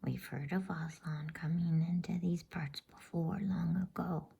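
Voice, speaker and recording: A woman voicing an earnest, folksy male talking beaver in a breathy whisper, declaiming in measured phrases for a bedtime storybook. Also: lowish voice, steady tone, mellow, full character voice.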